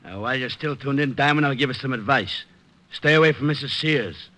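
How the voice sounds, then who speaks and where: A man's voice: mid-range voice, fairly quick, close to an everyday voice. A man playing a menacing hired thug in an old-time radio crime drama. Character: menacing male hired thug warning the detective. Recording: very noisy old radio recording, clicks.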